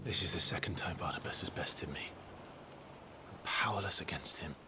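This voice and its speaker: An actor playing a brooding, defiant young hero in a video game, terse, earnest and intense. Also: male voice, low-pitched, normal speed, over music, close to an everyday voice. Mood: defeated, brooding. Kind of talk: dramatic video game cutscene acting.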